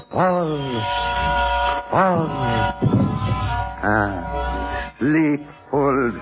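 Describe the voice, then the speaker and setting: An actor playing a menacing, grandiose Indian mystic hypnotist in a theatrical, commanding 1930s radio drama style. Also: male voice, medium pitch, dull audio. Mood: gloating, commanding. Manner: theatrical, imperious, refers to himself in third person. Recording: band-limited 1930s radio recording with music.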